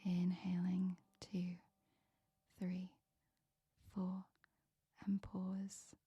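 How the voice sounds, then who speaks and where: A woman softly whispering a guided meditation with slow, soothing breath counts. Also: fairly low pitch, monotone, breathy edge, no room sound, dull audio.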